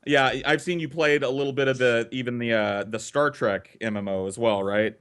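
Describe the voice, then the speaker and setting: A man's voice: mid-range voice, steady tone, moderate pace, fairly bright tone, smooth. A man hosting a casual talk show, telling stories and bantering with crude, profane teasing. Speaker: storytelling talk show host. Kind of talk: casual group banter and storytelling.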